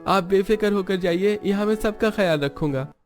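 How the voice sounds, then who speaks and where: A man doing a bright, high young husband. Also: moderate pace, faint music behind, lightly acted. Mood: upbeat. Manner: upbeat, persuasive. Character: young husband and son, cheerful and persuasive.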